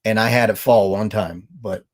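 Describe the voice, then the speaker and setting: A man's voice: on the low side, steady tone, normal speed. A nasal man in his fifties, co-hosting a casual livestream from an RV couch, telling stories and reading out viewer comments. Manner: rambling storyteller, reads viewer comments aloud. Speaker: livestream co-host. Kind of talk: casual live chat. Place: living room of an RV, on a couch. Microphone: webcam framing, seated.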